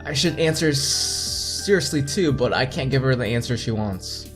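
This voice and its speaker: Young guy doing a visual novel lets play, average pitch, reads stuff out and comments casually. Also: expressive, moderate pace, fairly bright tone, faint music behind. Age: young adult. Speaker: playthrough host. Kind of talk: casual read-aloud game commentary.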